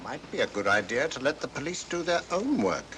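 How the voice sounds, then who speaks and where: An actor playing an irritable, curt and formal professor in a 1940s film. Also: male voice, big pitch swings, brisk, fairly bright tone. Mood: dry, annoyed. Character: haughty, irritable, uncooperative male professor on a train. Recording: old film soundtrack, faint train rumble.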